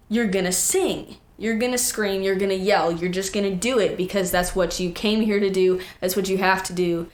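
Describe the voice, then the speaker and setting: A teenage young woman, a guest singer, telling stories in casual, animated chat full of quoted asides. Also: medium pitch.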